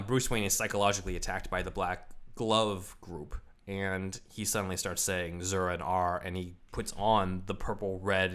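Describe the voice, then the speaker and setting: Brief: lower-pitched male co-host, chatty, walks through comic lore in detail. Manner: explains at length, animated storyteller. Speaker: podcast co-host. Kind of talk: casual comic-book discussion.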